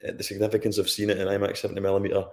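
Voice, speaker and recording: A man from Scotland with a Scottish accent, a guest on a film podcast, telling a story in a relaxed conversational way with asides. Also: on the low side, very fast. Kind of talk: casual chat on a live stream.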